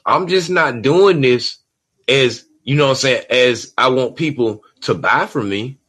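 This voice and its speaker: This is a man hosting a solo podcast, with a voice set in a middle range of pitch. He talks in an emphatic, streetwise way that leans toward preaching. He keeps coming back to "you know what I'm saying". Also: unhurried, fairly bright tone, slightly rough. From Georgia, USA. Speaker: podcast host.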